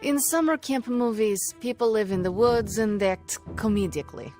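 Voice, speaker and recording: A woman playing a gloomy young sorceress in a video game with dry, deadpan wit. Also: fairly high pitch, moderate pace, natural voice. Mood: curious. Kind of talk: video game cutscene acting. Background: music.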